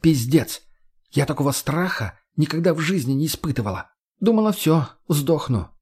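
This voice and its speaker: Man voicing a brash, higher-pitched young woman. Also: animated, normal speed, darkish tone, slightly rough. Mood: agitated. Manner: slangy, mocking, argumentative. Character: brash foul-mouthed sarcastic young woman, survivor. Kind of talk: audiobook character voice.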